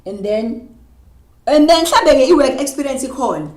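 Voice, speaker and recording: A woman teacher with a Zulu South African accent, mixing Zulu and English as she dictates slowly while writing. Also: high voice, expressive, a little mellow. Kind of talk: classroom lesson on job applications. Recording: roomy, echoey room.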